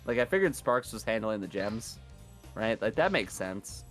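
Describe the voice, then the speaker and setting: A man commentating a live gaming marathon in a casual, chatty, self-deprecating way, his voice hoarse from days of yelling. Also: fairly low pitch, very animated, normal speed, soft background music.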